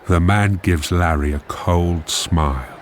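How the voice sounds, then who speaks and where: An actor as a measured, deliberate narrator reading screen directions in a radio drama. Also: male voice, deep voice, some chatter behind, a little hiss or hum. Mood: measured, suspenseful. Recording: music behind the narration.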